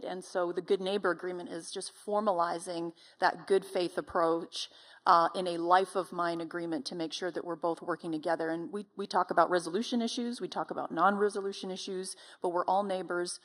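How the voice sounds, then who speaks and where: A woman's voice: lowish voice, fairly even intonation, fairly quick. A woman explaining things as a company representative in a panel discussion.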